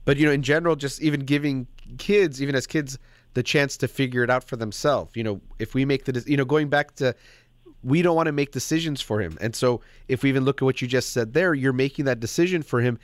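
A man hosts a call-in advice show and gives guidance in a calm, measured voice. The sound is close and dry, with no room around it. His pitch sits in the middle of the usual range for a man. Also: dry recording. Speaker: radio host.

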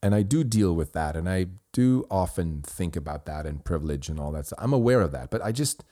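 A middle-aged podcast host from Switzerland, Swiss German by birth, speaking in a deep voice. His tone is warm and dark as he talks through a review in an earnest, rambling way. He restarts sentences often as he defends himself. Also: lively intonation, fairly quick. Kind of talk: responding to a listener review.

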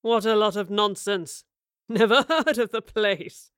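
A woman's voice: fairly low pitch, unhurried, no echo, clean studio sound. A woman voicing a malicious, impatient man in an audio drama, with a sarcastic, condescending drawl. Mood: brusque, mocking. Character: malicious, impatient, deep-voiced man, smug villain. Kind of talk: audio drama villain acting.

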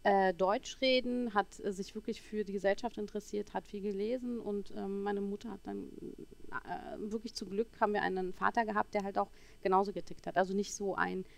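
A woman in her forties from Berlin telling her personal story as a podcast guest, halting as she searches for words. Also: medium pitch, fairly even intonation, vocal fry. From Berlin, Germany. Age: 40s.

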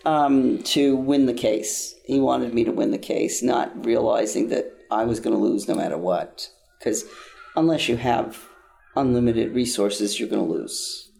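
Gravelly older man, earnest and halting. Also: mid-range voice, a little mellow. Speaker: interview guest, nonprofit founder. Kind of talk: interview answers, personal account.

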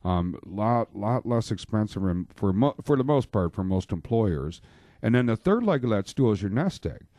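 A man's voice: fairly low pitch, very animated, normal speed, warm tone, dry recording, clean studio sound. A man hosting a radio talk show about money, chatty and joking, with lots of fillers. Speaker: radio show host, financial planner. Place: radio studio. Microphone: studio microphone.

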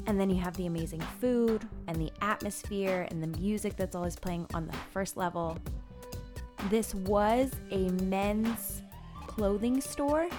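A woman presents a review show in a somewhat low-pitched voice. She moves between theatrical reading and chatty commentary. Music plays softly behind her. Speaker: podcast host.

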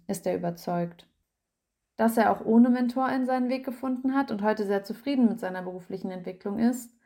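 A woman reads a written feature aloud as scripted narration. Her voice sits at a middle pitch, and her delivery stays even and measured throughout. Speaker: narrator reading an article aloud.

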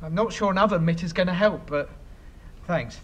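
Man as flustered, high-pitched reluctant hero Jack. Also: normal speed, muffled recording, close to an everyday voice. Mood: nervous, flustered. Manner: hesitant, flustered, sarcastic. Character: Jack, anxious, bumbling, sarcastic reluctant male hero. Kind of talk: comedy fantasy audio drama acting. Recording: echoey reverberant space with clear noise.

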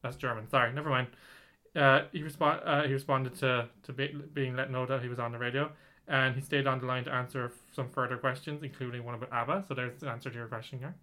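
A man's voice: medium pitch, fairly even intonation, fast talker, bright, forceful tone. A man co-hosting a podcast, reading out a news story in casual chat, rambling with many restarts. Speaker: podcast co-host.

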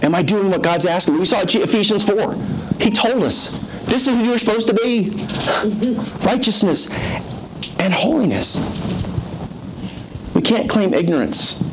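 Man, pastor, soothing voice, fairly high pitch, conversational sermon, noisy church recording. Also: expressive, measured pace, pauses often. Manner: conversational preaching with self-deprecating jokes. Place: church service.

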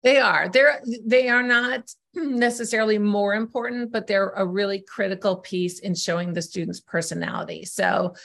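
Woman, middle-aged, podcast guest, earnest explainer, gravelly voice, mid pitch. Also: fairly even intonation, moderate pace, fairly bright tone. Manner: earnest, explains step by step with "um" and "you know". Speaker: college essay coach, guest. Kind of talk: explanatory interview answers.

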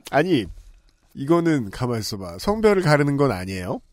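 A man's voice: mid-range voice, big pitch swings, a little fast, no echo, clean studio sound. A man co-hosting a casual talk podcast, joking and rambling with chuckles between lines. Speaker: podcast co-host. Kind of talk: casual chat.

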